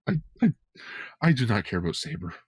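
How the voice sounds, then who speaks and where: A man's voice: on the low side, very animated, normal speed, breathy edge, no echo, clean studio sound. A man co-hosting a podcast in casual fan chat, informal and sweary in his way of talking. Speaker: podcast co-host.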